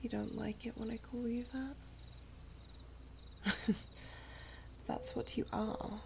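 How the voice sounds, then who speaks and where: A woman playing an ageless, gently teasing, wistful elven maiden in an ASMR roleplay, speaking softly and half-whispered with archaic, unhurried phrasing. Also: a little high, fairly even intonation, a little hiss or hum. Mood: teasing, curious. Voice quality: soft, breathy, half-whispered. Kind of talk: ASMR roleplay, slow intimate storytelling.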